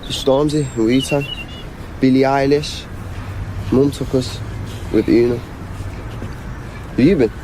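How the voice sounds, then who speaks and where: A man's voice: medium pitch, fairly even intonation, takes it slowly, long pauses, natural voice. A male actor playing a sullen teenage schoolboy in a naturalistic radio drama, terse, slangy and sarcastic. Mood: loosening up. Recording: noisy outdoor traffic ambience. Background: vehicles, outdoor ambience.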